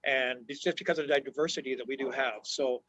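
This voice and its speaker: A man, giving a halting, rambling candidate speech at a forum. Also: medium pitch, fairly even intonation, a bit bright. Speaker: council candidate. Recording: muffled audio, faint hiss.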